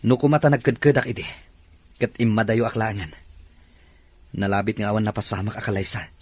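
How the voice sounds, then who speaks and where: A man's voice: fairly low pitch, fairly quick, a little mellow, dry recording, close to an everyday voice. Man playing a brooding, troubled husband. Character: troubled husband, cold to his wife.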